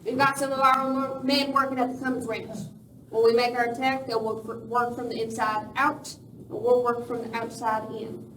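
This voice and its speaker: A woman's voice: fairly high pitch, little pitch movement, moderate pace, fairly bright tone, lightly acted. A woman playing a tough, ruthless boss of Western outlaws in a radio play, commanding and curt. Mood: stern, commanding. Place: live performance in a village venue. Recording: echoey hall, distant mic, faint noise.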